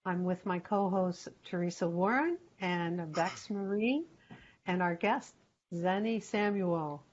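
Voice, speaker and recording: A woman's voice: on the low side, takes it slowly, dull audio, slight background noise. A woman hosting a spiritual talk show, opening it in a rambling way full of fillers over a video call. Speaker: host.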